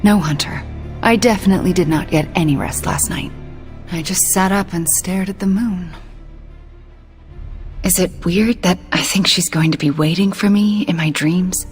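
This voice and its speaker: A woman's voice: on the low side, normal speed, soft background music, lightly acted. A woman playing a shaken, sleepless young heroine in a video game, confiding in a hesitant way. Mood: haunted, uneasy. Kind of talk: dramatic video game acting.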